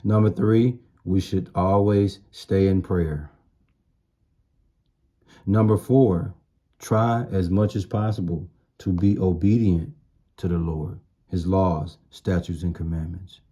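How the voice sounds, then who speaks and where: Deep, dark-voiced man preaching informally. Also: steady tone, slow pace. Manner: blunt, emphatic, repeats phrases for emphasis, streetwise slang. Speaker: street-style preacher. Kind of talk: informal sermon, exhortation.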